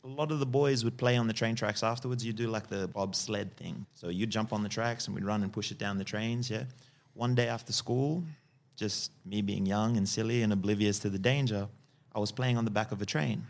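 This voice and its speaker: Gravelly man telling his story. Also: on the low side, brisk. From Fiji. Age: early 40s. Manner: calm, tags sentences with "yeah?" and "right?". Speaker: guest. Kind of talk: personal storytelling in a podcast interview.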